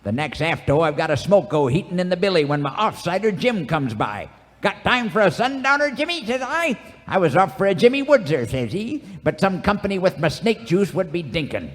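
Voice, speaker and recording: An actor playing a cantankerous, boastful old cowboy sidekick, spinning folksy, rambling tales in a live old-time radio comedy. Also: male voice, sits high, big pitch swings, a little fast, a bit bright. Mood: boastful, reminiscing. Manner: folksy, rambling, theatrical storytelling. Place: live radio theater stage. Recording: echoey theater stage, music behind.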